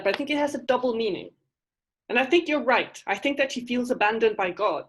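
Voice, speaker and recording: A middle-aged woman teaching a university literature class from home, speaking through a headset on a video call, animated and often asking "right?". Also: mid-range voice, slightly rough. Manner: animated, gestures while explaining, asks "right?" often. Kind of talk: online class discussion. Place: home room with bookshelves.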